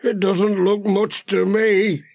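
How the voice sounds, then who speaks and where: A man's voice: high voice, flat delivery, slow, gravelly, darkish tone, dry recording, pitched above the performer's own voice, exaggerated voice. A man voicing a plain-spoken, wry countryman with a blunt, informal manner in a children's audiobook. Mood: wry, unimpressed. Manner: blunt, informal rustic talk. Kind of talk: children's audiobook character reading.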